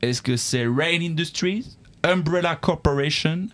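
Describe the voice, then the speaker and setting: A man's voice: on the high side, a little slow, fairly bright tone. A man hosting a live quiz game, reading out questions and bantering playfully with the contestants. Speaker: quiz game show host.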